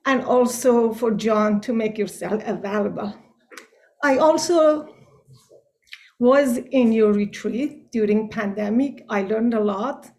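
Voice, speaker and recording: A woman's voice: a little high, slow, a little mellow. A woman from Iran, speaking on a group video call in a careful, word-searching way. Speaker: participant in a group meditation call. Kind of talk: earnest casual sharing.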